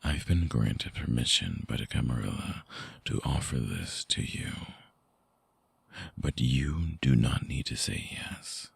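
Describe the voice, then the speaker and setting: A man voicing a lovesick vampire in intimate ASMR roleplay, husky and breathy, often dropping toward a whisper, halting, tender and earnest, close on the mic. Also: a bass voice, animated, no echo, heavily acted. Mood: tender, devoted. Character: lovesick male vampire returning to his lost lover. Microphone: close binaural microphone.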